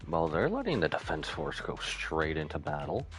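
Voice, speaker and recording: A man's voice: very deep voice, wildly swooping pitch, normal speed, a bit bright, soft background music. A man doing casual, playful commentary as he streams a video game, often exclaiming. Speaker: gaming streamer. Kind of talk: casual playthrough commentary, reading game dialogue aloud.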